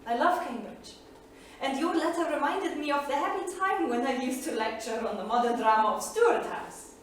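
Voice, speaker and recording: An actress in a staged reading, playing a proud, earnest heroine in a solemn, dramatic manner. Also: female voice, sits high, animated, normal speed. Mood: earnest, anguished. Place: theatre studio stage, far microphone. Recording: distant echoey theatre studio, faint noise.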